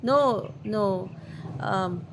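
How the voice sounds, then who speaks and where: A woman with a Filipino accent chatting casually to camera as a vlogger, pausing often to search for English words. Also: medium pitch, background noise. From Philippines.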